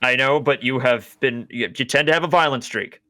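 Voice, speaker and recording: Bright sharp voice guy, stammers a lot, dry mic. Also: mid-range voice, brisk, no room sound. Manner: hesitant, stammering. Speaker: player. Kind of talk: casual game chat.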